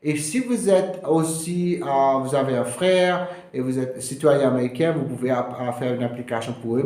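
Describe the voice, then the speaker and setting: A man from Mauritius, a lawyer explaining to camera in a halting way, full of fillers and English terms. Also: fairly high pitch, darkish tone, roomy sound. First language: Mauritian Creole. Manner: hesitant, many fillers, slips into English terms. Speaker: immigration lawyer.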